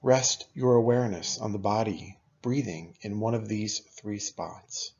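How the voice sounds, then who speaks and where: A man leading a guided meditation as a teacher, speaking in a calm, unhurried, instructional way with long pauses. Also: on the low side, creaky, husky, highs cut off.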